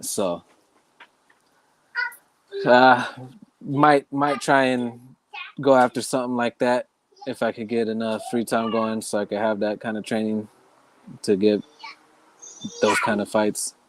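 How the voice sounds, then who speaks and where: A man's voice: mid-range voice, flat delivery, measured pace, pauses often, background noise. A man in his thirties, a guest fighter in a casual interview, easygoing and polite with lots of "yes, sir". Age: 30s.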